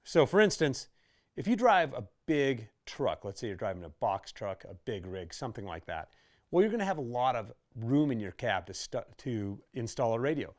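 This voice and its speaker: A man hosts an informal instructional video in a medium-pitched voice. He explains equipment step by step in a conversational manner, as if talking a customer through the options. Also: big pitch swings, normal speed. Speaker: host of a radio gear how-to video.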